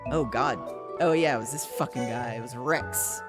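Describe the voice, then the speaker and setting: A woman with a slightly raspy voice, streaming a story game, reading its text aloud and chatting casually with some swearing. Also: very deep voice, moderate pace. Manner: chatty, swearing, laughing, trailing off. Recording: music playing in the background.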